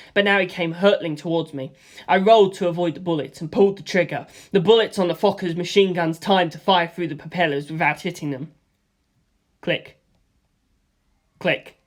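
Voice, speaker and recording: A reader giving a casual, lively first-person audiobook narration with offhand asides. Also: medium pitch.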